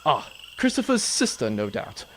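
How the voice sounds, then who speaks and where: A man's voice: fairly high pitch, wildly swooping pitch, moderate pace, fairly bright tone, a little hiss or hum. A man playing a cocky, flirtatious, oblivious space captain, bombastic and self-assured, in a comic sci-fi audio drama. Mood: smug, flirtatious.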